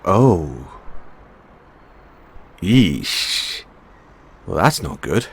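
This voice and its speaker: Man playing an excitable mad scientist, wildly swooping pitch. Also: very slow, slight background noise, exaggerated voice. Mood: uneasy wonder. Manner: theatrical, geeky, hesitant musing between outbursts. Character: excitable eccentric mad scientist boyfriend with time machine. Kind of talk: audio roleplay acting, addressed to listener.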